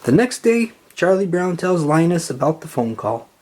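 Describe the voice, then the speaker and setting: A narrator reading a children's story aloud in an expressive way, acting out the dialogue. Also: medium pitch, moderate pace. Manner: animated, acts out dialogue.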